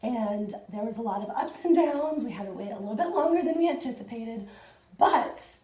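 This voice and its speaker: A woman in her thirties, hoarse and husky after losing her voice, chatting excitedly to viewers in a casual unboxing vlog at home. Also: a little high, normal speed, roomy sound. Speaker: fitness vlogger. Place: small indoor room at home.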